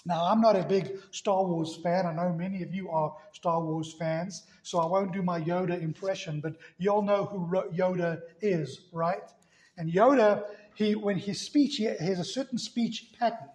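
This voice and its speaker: A man's voice: high-pitched, steady tone, normal speed, a little mellow. A man preaching a sermon in a church, emphatic, hammering key words again and again. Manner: emphatic, repeats key words with rising force. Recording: echoey room.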